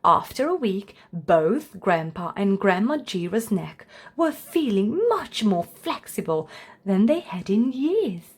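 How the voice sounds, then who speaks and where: A woman's voice: medium pitch, sing-song, slow, darkish tone, breathy edge. A woman storyteller reading a children's book aloud as scripted narration.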